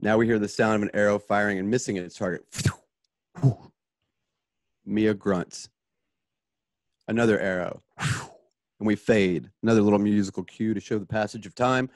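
Guy hosting a radio drama, reading scene directions casually, lowish voice, dry sound. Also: steady tone, normal speed, long pauses, no echo. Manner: conversational. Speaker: narrator and host. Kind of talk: informal narration of radio play stage directions.

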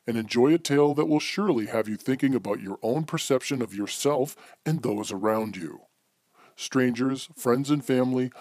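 A man's voice: mid-range voice, darkish tone, dry recording, clean studio sound. A man giving a formal, theatrical scripted introduction as the host of an old-time radio style drama.

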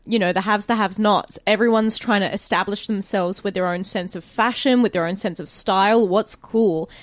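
An Australian woman speaks as a podcast co-host in a relaxed, informal interview style. Her voice sits at a medium pitch and is recorded dry, with no room sound. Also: no room sound. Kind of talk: casual chat, informal questions.

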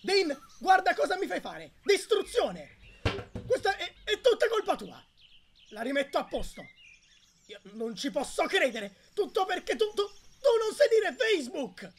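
A man's voice: extremely high pitch, huge pitch swings, a little slow, slight background noise, exaggerated voice. A young man dubbing an exasperated, pedantic and whining friend in an amateur comedy sketch. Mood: whining despair. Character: exasperated young man losing his temper over a friend's mispronunciation.

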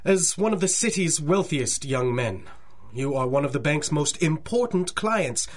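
An actor playing an older bank president in a radio drama, formal, fussy, deferential and anxious. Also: male voice, on the high side, animated, unhurried. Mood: apologetic, hesitant. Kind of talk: 1930s-style radio drama acting.

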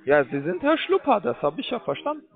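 A man's voice: sits high, very animated, fairly quick, vocal fry. Pushy man on a tinny phone line. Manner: broken grammar, hesitant, pushy. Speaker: scam call center caller. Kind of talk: sales pitch on a phone call.

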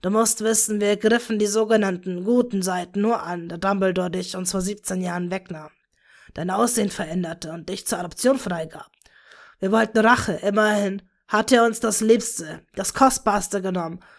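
A woman voicing a remorseful dark wizard father, speaking softly in a broken whisper. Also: medium pitch, moderate pace, lightly acted. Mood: tender. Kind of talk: fan fiction reading.

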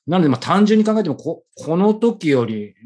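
A man's voice: a little high, big pitch swings, brisk, darkish tone. A man hosting a conversational interview, chatty and wordy, often adding asides as he goes. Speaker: interviewer, show host.

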